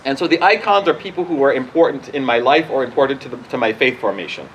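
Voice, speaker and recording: A man in his forties from Barbados, a bishop informally answering questions outdoors in a halting, conversational way that often restarts sentences. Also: mid-range voice, a bit bright, a little hiss or hum, low-quality recording. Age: 40s. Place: outdoors at a church gathering.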